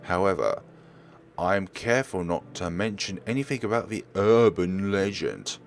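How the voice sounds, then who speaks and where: A man's voice: low-pitched, normal speed, vocal fry, a little hiss or hum. A man reading a visual novel aloud as a let's player, narrating expressively and sometimes stumbling and correcting himself.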